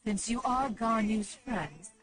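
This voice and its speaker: An actress voicing a proud, doting, ancient immortal spirit in a video game, with a stately, formal, archaic manner. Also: female voice, on the high side, expressive, slow. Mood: stately, genial. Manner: stately, formal, archaic, calls herself "one". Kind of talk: English video game voice acting.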